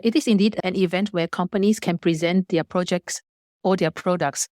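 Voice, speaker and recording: A woman's voice: on the low side, steady tone, moderate pace, a little mellow, no room sound, clean studio sound. A woman from Malaysia giving formal, prepared, explanatory answers as a business guest on a podcast.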